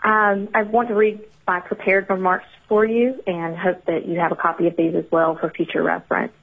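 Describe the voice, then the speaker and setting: A woman with a Southern US accent, an expert witness reading prepared remarks to a committee in a measured, formal way, heard over a telephone. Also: on the low side, level tone, creaky. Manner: measured, formal delivery with occasional fillers. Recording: narrow phone-line audio.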